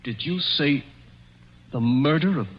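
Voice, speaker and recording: An actor playing a tense young chemist accused of murder, speaking with urgent, earnest drama in a 1940s radio play. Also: male voice, fairly high pitch, a little slow, close to an everyday voice. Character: tense young male chemist, hunted and desperate. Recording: muffled 1940s radio broadcast with faint hiss.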